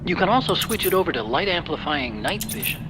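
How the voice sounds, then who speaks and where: An actor playing an earnest, helpful tech-expert sidekick in a video game, explaining things in a friendly way. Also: male voice, high voice, very animated, brisk, noisy background. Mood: friendly, eager. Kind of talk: video game cutscene acting.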